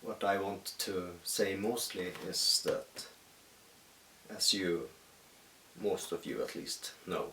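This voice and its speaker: A Swedish man, speaking English as a second language, who talks about his music in a halting, thoughtful way with many pauses. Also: sits low, sharp tone. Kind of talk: reflective solo monologue. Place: at home in the countryside. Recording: echoey room, distant mic, faint hiss.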